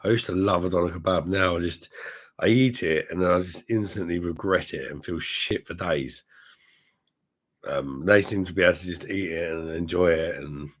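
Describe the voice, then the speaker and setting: Man, very deep voice, hoarse, unwell, crude rambling stream chat. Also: normal speed. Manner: rambling, crude jokes, swearing. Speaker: livestream host reading chat. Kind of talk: casual crude drinking chat.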